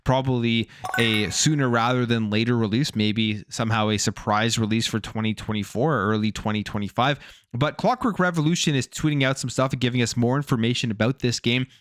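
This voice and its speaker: A man's voice: medium pitch, fairly quick, no room sound, clean studio sound. A man presenting video game news as a host, in a casual commentary style. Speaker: gaming news YouTube host.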